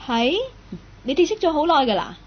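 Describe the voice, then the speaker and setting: A woman's voice: sits high, expressive, normal speed, highs cut off. A woman acting a curious, friendly young woman in a radio drama, with a Hong Kong Cantonese accent, both narrating and in dialogue. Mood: polite, casual. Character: curious, friendly young woman, part-time worker, nicknamed Meow.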